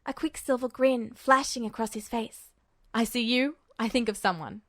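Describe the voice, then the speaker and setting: A woman voicing a pensive, cryptic teenage boy soldier in a story, speaking in cold, deliberate, clipped phrases. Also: a little high, a bit bright, dry recording, studio quality. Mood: wry, earnest. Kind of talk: audiobook character dialogue.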